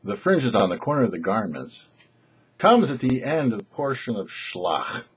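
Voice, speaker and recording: A man giving a short prepared religious teaching as a rabbi, in measured, emphatic phrases with pauses. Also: fairly low pitch, big pitch swings, husky, highs cut off. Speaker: rabbi, show presenter.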